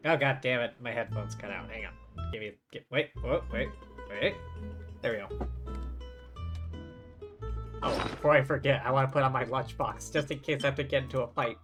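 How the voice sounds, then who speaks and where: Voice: medium pitch, moderate pace, pauses often. Wry streamer with music behind. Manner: teasing, wry. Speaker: game streamer. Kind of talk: casual live gameplay commentary.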